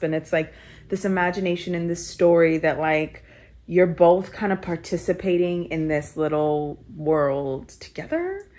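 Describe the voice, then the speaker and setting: A woman's voice: low voice, darkish tone. A young woman from Kenya, telling her personal story in a reflective, conversational way with many filler words. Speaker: storyteller guest.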